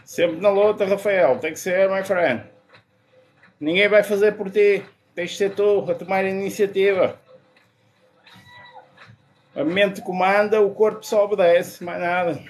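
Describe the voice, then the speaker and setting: A man's voice: high voice, steady tone. A man in his thirties with a European Portuguese accent, talking casually and motivationally into a phone in an indoor gym. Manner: brisk, motivational, answers questions aloud. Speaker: gym vlogger. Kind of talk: casual self-talk vlog. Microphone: phone held close, low angle.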